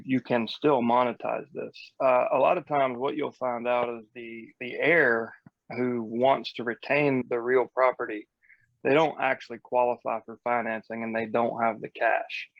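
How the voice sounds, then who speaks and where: A man's voice: mid-range voice, no echo, lo-fi sound. A man giving casual, blunt coaching as a real estate podcast host, explaining step by step in informal, mildly profane language.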